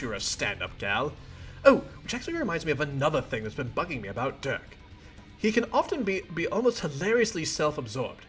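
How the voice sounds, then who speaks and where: A cheerful, oblivious young adventurer acted out by a man rambles in earnest, folksy phrases. The voice is bright and lively, a little husky, over music. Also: fairly high pitch. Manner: wordy, folksy old-fashioned slang, earnest.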